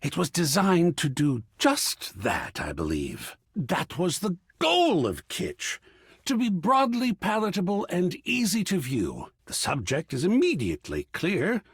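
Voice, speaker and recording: A man voicing an excitable, cultured art curator character in a video game, theatrical and erudite, with precise diction and a husky, breathy voice. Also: fairly high pitch, unhurried, no echo, clean studio sound, exaggerated voice. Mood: musing, lecturing. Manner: effusive, erudite, precisely enunciated, dramatic. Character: excitable, cultured art object come to life, gallery curator.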